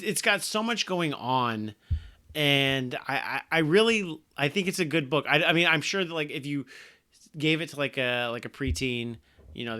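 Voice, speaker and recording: A man co-hosting a podcast, sharing his opinion of a comic with eager, halting restarts. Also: medium pitch, bright, forceful tone. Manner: enthusiastic, hesitant with many restarts. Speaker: podcast co-host. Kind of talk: casual podcast chat.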